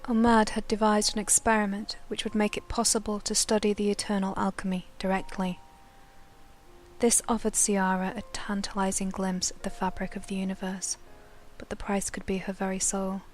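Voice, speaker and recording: A woman's voice: medium pitch, completely flat delivery, moderate pace, a little hiss or hum. A woman reading scripted dramatic lore narration in a solemn storytelling manner. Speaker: narrator of a game lore news chronicle. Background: background music.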